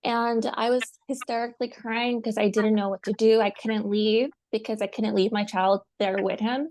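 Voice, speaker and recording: A woman from the United States telling her personal story as a guest, speaking softly and haltingly with many pauses. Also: on the high side, fairly even intonation. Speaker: guest, survivor. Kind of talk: personal testimony.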